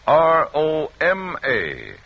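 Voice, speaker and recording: A man's voice: a little high, huge pitch swings, very slow. A man announcing sponsor messages in 1940s radio style, polished, formal and emphatic. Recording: muffled old radio, faint noise.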